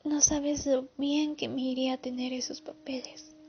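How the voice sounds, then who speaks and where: Girl playing sick broke lady war vet, bitter, creaky and really high, radio play, dull audio. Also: level tone, moderate pace, a little hiss or hum. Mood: anguished. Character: ailing, impoverished female war veteran confiding bitterly. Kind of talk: radio drama acting. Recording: muffled audio with music underneath.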